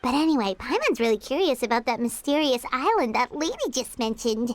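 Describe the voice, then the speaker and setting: A woman voicing a tiny, excitable, childlike female fairy companion in a video game, squeaky and eager. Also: a little fast, slight background noise. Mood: eager, curious. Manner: speaks of self in third person, eager. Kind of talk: video game character acting. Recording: game music under the voice.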